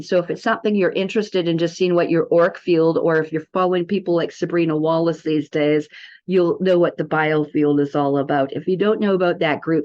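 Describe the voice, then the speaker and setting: A woman giving an informal software demo as a practitioner, in a chatty, rambling, salesy manner. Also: on the low side. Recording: dull, muffled lo-fi sound.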